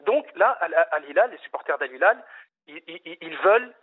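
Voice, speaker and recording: A man's voice: fairly high pitch, animated, a little fast, breathy edge. A male caller making an insistent case about football on a radio phone-in, with many fillers and restarts. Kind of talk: animated phone-in football debate. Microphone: telephone. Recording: tinny phone line.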